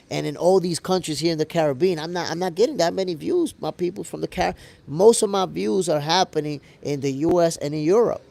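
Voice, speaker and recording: An American man with a gravelly voice hosting a casual livestream, talking to viewers in an animated, slangy way. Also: a little high, no room sound. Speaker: host of a boxing show livestream, reading viewer comments. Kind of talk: casual livestream chat with audience.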